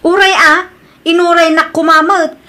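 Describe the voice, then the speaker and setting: A woman's voice: very high-pitched, flat delivery, normal speed, bright, forceful tone. A Filipino woman playing a haughty, hot-tempered senior employee in an audio drama, complaining in a whiny, scornful way. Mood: irritated. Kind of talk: acted audio comedy-drama dialogue.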